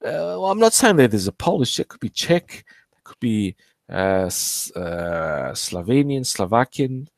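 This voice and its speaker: A man's voice: mid-range voice, sing-song, measured pace, creaky, no room sound, clean studio sound. A man hosting a casual live stream about archaeology, rambling with many fillers and restarts, swearing and joking with his chat. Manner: swears freely and jokes with the chat.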